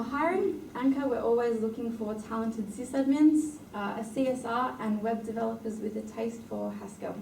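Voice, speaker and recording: A woman hosts a tech meetup, briskly reading housekeeping notes and introducing the next speaker. Her voice is a little high and gravelly with fry. She sounds far from the mic in an echoey room with steady background noise. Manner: brisk, reads housekeeping notes with many "uh" fillers. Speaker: meetup host.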